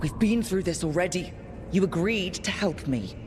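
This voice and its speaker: A man's voice: high-pitched, lively intonation, moderate pace, background noise. A man playing an earnest young elven adventurer in a fantasy game, speaking plainly and directly. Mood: urgent, frustrated. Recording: music under the voice.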